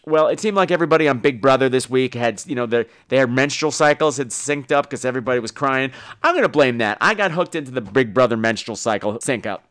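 A man's voice: medium pitch, fast talker, fairly bright tone. A man hosting a show, talking casually and rambling through reviews with theatrical emphasis. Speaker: podcast host. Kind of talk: casual solo review monologue.

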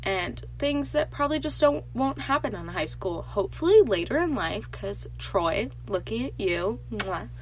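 A woman's voice: on the high side, moderate pace, hardly any pauses, slight background noise, poor audio. Teenage girl, nasal from allergies. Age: teens. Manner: chatty and animated, lots of filler "like". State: congested from allergies. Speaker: podcast host. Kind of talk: casual solo podcast chat.

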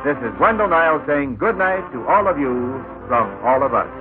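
Vintage male radio announcer over loud music. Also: fairly high pitch, expressive, normal speed. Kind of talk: 1940s-50s radio announcing. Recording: old narrow-band broadcast over loud music.

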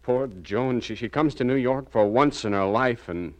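An actor playing a frightened married man accused of murder in a 1950s radio drama, halting, earnest and defensive. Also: medium pitch, lightly acted. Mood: nervous, pleading.

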